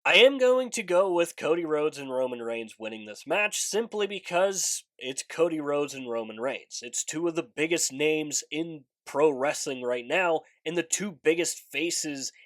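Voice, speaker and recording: A man's voice: fairly high pitch, lively intonation, unhurried, few pauses, no echo. A man with a congested nose, hosting a casual video preview of upcoming matches, earnest and chatty, leaning on stressed words. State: congested, recovering from a fever. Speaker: wrestling preview channel host. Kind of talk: casual vlog commentary, breaking down upcoming matches.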